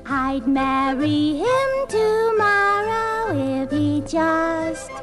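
Very high, slow, tipsy songstress. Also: female voice, animated, over loud music, full character voice. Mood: giddy, tipsy. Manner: slow, giggly, gasping delivery. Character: giggly, tipsy lady band singer. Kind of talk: parody novelty singing. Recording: old comedy record with band.

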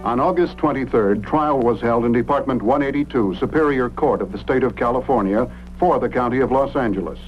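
A man with an American accent reading a formal, measured announcement for a crime drama. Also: medium pitch. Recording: dull old broadcast, loud music.

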